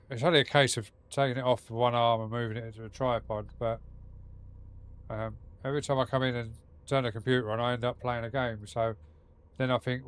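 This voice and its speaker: Man, streamer, blocked nose, rambling casual chat, mid pitch, clean smooth voice. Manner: rambling, chatty, swears casually. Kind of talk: casual live-stream chat while gaming. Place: home gaming setup.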